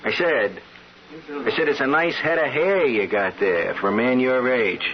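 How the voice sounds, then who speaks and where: An actor playing a scheming, brash, streetwise barfly hustler in a radio drama, talking in tough, wheedling slang. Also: male voice, mid-range voice, normal speed, lightly acted. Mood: coaxing, sly. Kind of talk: old-time radio drama acting. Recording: dull, noisy radio drama recording.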